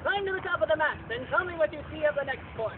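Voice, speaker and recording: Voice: high voice, expressive, fast talker. A puppeteer playing a blustering, theatrical male Viking captain in a live puppet show. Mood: grumbling, bossy. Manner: theatrical, declamatory. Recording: tinny, noisy outdoor recording from afar.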